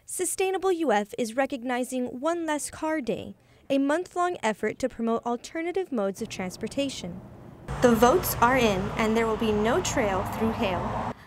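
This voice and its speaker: A woman reading scripted television news reports as a reporter, with crisp broadcast enunciation. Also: fairly high pitch, lively intonation, normal speed, slight background noise.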